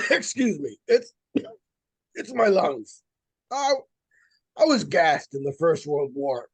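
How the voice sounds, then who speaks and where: An actor playing a genial, modest elderly male doctor in a radio play, husky and weak-chested, courteous and halting. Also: fairly high pitch, very animated, no room sound, heavily acted, low-quality recording. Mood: apologetic, wheezy. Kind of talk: 1940s live radio drama acting.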